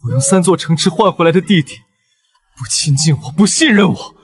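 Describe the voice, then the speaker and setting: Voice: medium pitch, animated, brisk, breathy edge. An actor playing a jealous, possessive emperor and elder brother in a historical drama. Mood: bitter jealousy. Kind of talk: dubbed historical drama acting.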